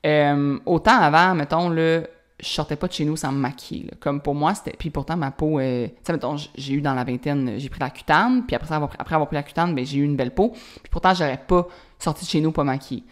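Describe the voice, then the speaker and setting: A woman in her thirties talks through a solo podcast episode with a strong Quebec French accent. Her voice sits low for a woman, and she rambles in a loose, friendly way, dropping fillers and bits of English slang as she goes. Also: fast talker. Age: 30s. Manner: casual, chatty, many fillers and anglicisms. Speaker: podcast host.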